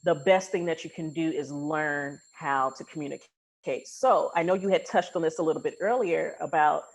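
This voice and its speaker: A woman's voice: sits low, moderate pace, low-quality recording. A woman hosting a casual interview podcast, talking in an affirming, explanatory way. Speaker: podcast host.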